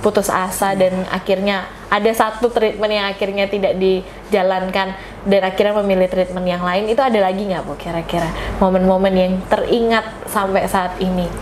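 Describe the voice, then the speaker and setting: Woman podcast host, mid-pitched, warmly affirming, light background hiss. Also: level tone, moderate pace, rough recording. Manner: encouraging, frequent affirmations. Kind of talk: interview hosting.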